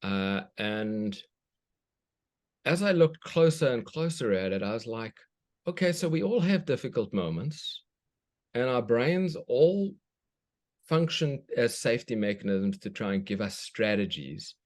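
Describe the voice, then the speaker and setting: A man's voice: mid-range voice, animated, measured pace, a little mellow. A man from South Africa, a children's book author, speaks thoughtfully as a guest, often restarting as he searches for words. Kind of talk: reflective conversation.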